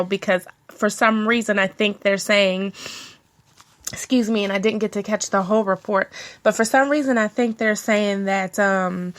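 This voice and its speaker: A woman hosting her own radio show with a stuffy, nasal voice from a cold. She talks loosely and chattily, full of ums and self-corrections, at a middle pitch. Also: a bit bright. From Washington, D.C. area. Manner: chatty, rambling, with frequent ums and restarts. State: congested with a cold. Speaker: internet radio show host. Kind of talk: casual solo radio talk.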